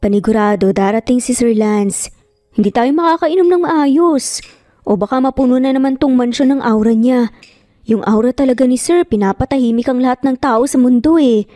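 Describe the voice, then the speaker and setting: A woman voicing a cheerful, gossipy young female office worker in a Tagalog audio story, with an excitable, teasing manner. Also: on the high side, normal speed, no echo, clean studio sound, faint music behind, lightly acted.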